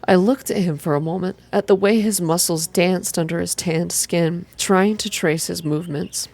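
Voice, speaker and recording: A woman reading a story game aloud in ASMR style, slow and gentle, with casual asides. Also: on the low side, fairly even intonation. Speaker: game streamer reading a visual novel aloud.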